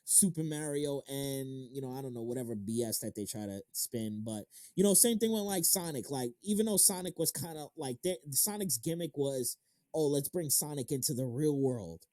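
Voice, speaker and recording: A man's voice: medium pitch, expressive, moderate pace, mellow, dry recording, clean studio sound. A thirty-year-old man hosting an interview as a casual chat, informal and slangy with some casual swearing. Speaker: interview show host. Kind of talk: casual chat about games and films.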